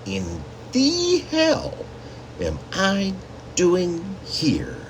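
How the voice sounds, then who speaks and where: A man voicing a lost, exasperated mother in a story, shaky but defiant, slow and emphatic. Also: sits high, huge pitch swings, slight background noise, pitched above the performer's own voice, full character voice. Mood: defiant despair. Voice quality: shaky vibrato rising toward a shout.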